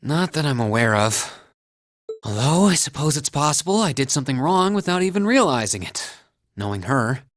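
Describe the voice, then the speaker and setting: A man's voice: medium pitch, very animated, normal speed, close to an everyday voice. An actor playing an earnest, easygoing young male academy student in a video game, polite and friendly. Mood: puzzled, earnest. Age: young adult. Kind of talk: English anime-style game voice acting.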